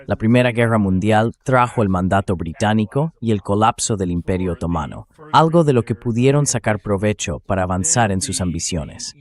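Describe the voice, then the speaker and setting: A man's voice: on the low side, a little mellow. A synthetic male voice reading a translated political commentary as a voice-over. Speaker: interview guest analyst, dubbed translation.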